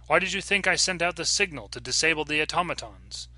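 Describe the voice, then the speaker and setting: A man's voice: fairly high pitch, expressive, fast, sharp tone, no room sound, voice pushed up. A man voicing an earnest, frantic time traveler in a dramatic story reading, with emphatic, formal diction. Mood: urgent, reproachful. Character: frantic, principled time-travelling man pleading a doomed planet's case. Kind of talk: dramatic audiobook character reading.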